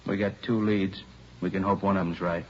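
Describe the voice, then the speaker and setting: An actor playing a practical American police lieutenant in a 1950s radio drama, casual and businesslike. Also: male voice, low-pitched, little pitch movement, normal speed, natural voice. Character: plain-spoken, practical male police lieutenant. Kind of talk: 1950s radio drama acting, naturalistic underplayed dialogue. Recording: muffled, faintly noisy old radio recording.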